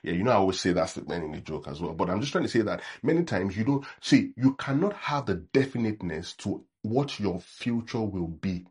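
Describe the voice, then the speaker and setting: Nigerian pastor guy, deep voice a bit husky, keeps asking right? as he preaches. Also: darkish tone. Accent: Nigerian English. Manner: conversational preaching, frequent "right?" check-ins; acts out sample prayers in a mocking tone. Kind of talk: sermon.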